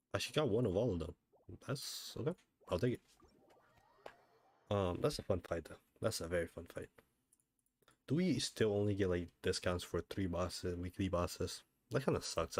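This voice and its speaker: A man with a low voice streams a video game. He chats casually, half to himself, in an offhand way. He often repeats his own phrases as he goes. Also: lively intonation, normal speed, pauses often, darkish tone, breathy edge. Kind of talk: casual gameplay commentary.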